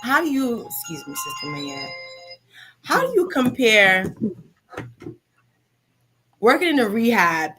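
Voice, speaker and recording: A woman's voice: fairly high pitch, sing-song, slow pace, long pauses, bright, forceful tone, soft background music, poor audio. A woman in her thirties hosting a live talk show in an upbeat, motivational way, often adding "okay?". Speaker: host.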